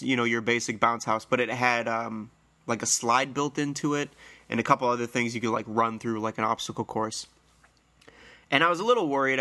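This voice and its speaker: A man in his thirties hosts a casual solo podcast with a congested, slightly nasal and hoarse voice. His tone is bright, and he tells stories in a rambling, friendly way with plenty of fillers. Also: medium pitch. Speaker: podcast host.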